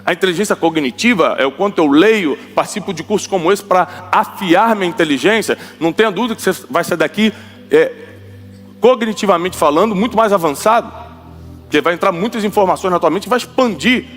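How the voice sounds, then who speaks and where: Emphatic preacher, high voice. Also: male voice, fairly quick, a bit bright, faint music behind. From Rio de Janeiro, Brazil. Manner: emphatic, repeats lines for the audience to echo. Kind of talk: sermon.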